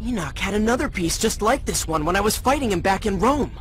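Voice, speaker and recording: A man's voice: sits high, lively intonation, a little fast, fairly bright tone, a little hiss or hum. A man voicing an eager teenage boy hero in a video game, with an earnest, casual, youthful delivery. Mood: earnest, curious. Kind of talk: video game cutscene acting.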